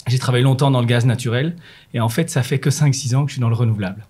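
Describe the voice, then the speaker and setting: A middle-aged man, a native French speaker, answering interview questions about his work as a manager in renewable energy, in a measured, explanatory way with many fillers and restarts. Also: mid-range voice, a little mellow, breathy edge.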